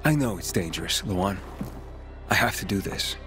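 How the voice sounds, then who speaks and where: A young male survivor hero in a video game. His voice sits fairly low, and he talks in a terse, direct, determined way with some cockiness. Faint music runs behind him. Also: animated, normal speed. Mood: resolute. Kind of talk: video game dramatic acting.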